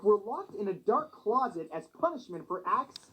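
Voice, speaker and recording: A man's voice: high voice, very animated, normal speed, lo-fi sound, crowd noise. A man narrating a scripted countdown video, mixing read-out facts with slangy asides, heard as played-back video audio.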